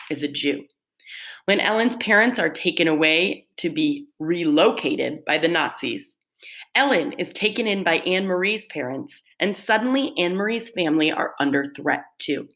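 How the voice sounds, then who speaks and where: A woman with a voice a little on the low side talks like a school teacher addressing pupils. She reads prepared summaries aloud and explains them in simple terms. Key words get clear emphasis. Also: a bit bright, rough recording.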